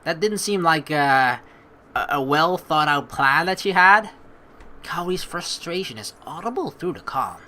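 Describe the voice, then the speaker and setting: A let's play host giving rambling, excitable commentary on a story game and reading its text aloud. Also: mid-range voice, unhurried. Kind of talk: game playthrough commentary with dramatic read-aloud. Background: game music.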